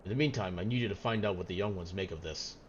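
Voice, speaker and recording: A man's voice: low voice, fast, highs cut off, slight background noise, natural voice. A man reading out a grave, protective village elder from a fantasy game, in earnest, formal speech. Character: grave, protective village elder, worried about rash youths.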